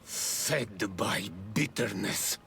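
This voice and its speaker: An actor playing an embittered, fanatical native man in a fantasy game, with a gravelly voice and solemn, declamatory delivery. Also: on the low side, extremely slow, pushed and bright, soft background music, exaggerated voice. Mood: grave foreboding. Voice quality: gravelly, creaky. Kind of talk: dramatic fantasy game acting.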